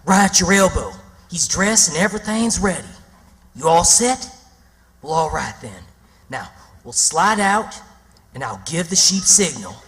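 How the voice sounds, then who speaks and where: A cocky boy schemer from a radio drama , acted by a man, with a rural Southern American drawl. The voice is high and bright, and he lays down his plans emphatically. Long reverb surrounds him. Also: very animated, unhurried, pauses often. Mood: excited, scheming. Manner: emphatic, know-it-all explaining. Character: cocky, scheming boy adventurer, bossy and stubborn. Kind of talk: radio theatre acting. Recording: long reverberant hall sound.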